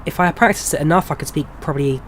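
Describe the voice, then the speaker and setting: A man's voice: a little high, fast talker, a little hiss or hum. A man in his twenties with an English accent, chatting casually with viewers on a live stream and answering their questions. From England. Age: 20s. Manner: relaxed, chatty, answers chat questions with frequent ums. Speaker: flight sim streamer.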